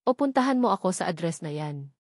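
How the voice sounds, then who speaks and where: A woman voicing a gentle, soft-spoken and secretive woman, an earnest family friend in an audio drama. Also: fairly low pitch, big pitch swings, brisk, dry recording, clean studio sound.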